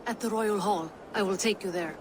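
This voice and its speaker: A woman's voice: mid-range voice, moderate pace, close to an everyday voice. Woman acting wary, rattled heroine over noisy ambience. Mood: apologetic, guarded. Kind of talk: video game dramatic acting. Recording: noisy outdoor game ambience behind voice.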